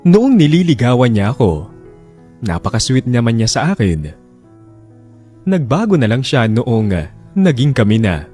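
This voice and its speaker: A man's voice: medium pitch, very animated, a little slow, pauses often, mellow, breathy edge, soft background music. A man with a Filipino accent narrating a personal letter dramatically, as a radio storyteller.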